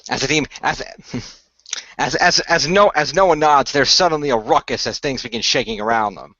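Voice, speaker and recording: Male narrator, scripted storytelling, amateur radio play, medium pitch, slightly dull recording. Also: normal speed, bright, forceful tone, no echo. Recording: dry, slightly muffled home recording.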